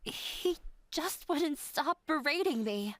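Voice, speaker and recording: High-voiced, resigned young runaway. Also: female voice, lively intonation, fairly bright tone, no room sound, full character voice. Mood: wounded, bitter. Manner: subdued, resigned, measured. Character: sad, self-blaming young woman, resigned runaway daughter. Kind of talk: video game voice acting. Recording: game music behind the voice.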